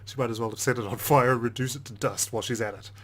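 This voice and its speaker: A man's voice: medium pitch, steady tone, very fast, fairly bright tone. A man reading a visual novel aloud in the first person with a dry, sardonic delivery. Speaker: let's play narrator.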